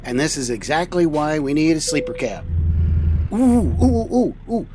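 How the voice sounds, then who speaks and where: A man's voice: a little high, sing-song, normal speed. A man playing a boyish, easygoing trucker captain in a comedy audio drama, with a casual, playful way of talking. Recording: faint vehicle noise behind.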